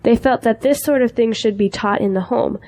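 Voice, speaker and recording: A woman's voice: fairly high pitch, moderate pace, dark tone, close to an everyday voice. A woman playing an eager, idealistic young schoolteacher in an audio drama, with an earnest, polite way of talking. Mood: concerned, hesitant.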